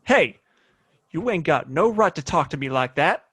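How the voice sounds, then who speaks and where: A man's voice: on the high side, animated, normal speed, fairly bright tone, breathy edge, full character voice. An American man acting a cocky, hot-tempered gunslinging bully in a western audio play, with a blustering, folksy way of talking. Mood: cocky, belligerent. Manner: blustering, folksy drawling insults.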